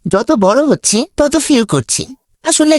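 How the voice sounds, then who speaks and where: A comic trickster cartoon character, put on by a woman, speaking in slow, lazy stretches. The pitch moves around in a lively way, and a funny voice-changer effect colours every word. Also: dry recording. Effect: trickster voice-changer filter.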